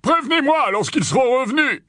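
A man's voice: very high voice, expressive, a little fast, bright, forceful tone, pitched above the performer's own voice, exaggerated voice. A man voicing a commanding grown wizard who speaks urgently in a storybook reading.

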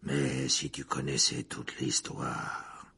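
A man's voice: creaky, fairly bright tone, husky, exaggerated voice. A man doing a hissing, haggard fugitive. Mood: menacing hush. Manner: low, slow and whispered. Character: haggard adult male fugitive, intense, hissing.

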